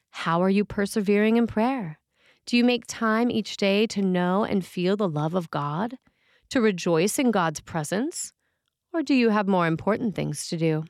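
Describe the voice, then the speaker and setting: A woman reads a prepared sermon in a measured, deliberate manner. Her voice sits at a medium pitch, and the recording is dry, with very little room sound. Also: darkish tone, dry recording. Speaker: preacher reading a written sermon for a podcast. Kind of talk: scripted sermon reading.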